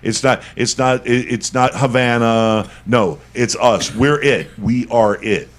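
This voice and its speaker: A man co-hosting a casual podcast, telling jokes and school stories with lively acted-out quotes. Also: medium pitch, unhurried. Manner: animated joke-teller, acts out quoted voices.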